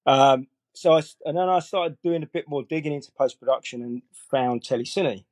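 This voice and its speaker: A man in his forties, a guest on a podcast, talking in a relaxed, rambling way full of fillers and restarts. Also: fairly high pitch, fairly quick, dry recording. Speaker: guest colorist. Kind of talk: casual interview chat.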